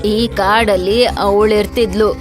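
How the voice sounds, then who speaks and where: A woman voicing a motherly, ominous eatery keeper who tells ghost tales dramatically, with loud music behind her. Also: medium pitch, steady tone, slow pace, lightly acted.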